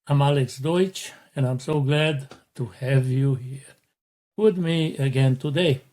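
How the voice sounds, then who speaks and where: A middle-aged man from Romania hosting a motivational podcast, speaking in a slow, deliberate and earnest way. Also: medium pitch, a little mellow. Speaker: podcast host.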